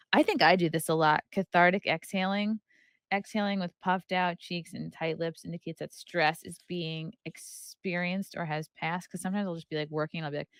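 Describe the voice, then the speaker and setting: A woman's voice: on the low side, a bit bright, no room sound. A woman hosting a podcast, chatting casually and reading aloud from a book with playful, irreverent, flippant asides. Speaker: podcast host.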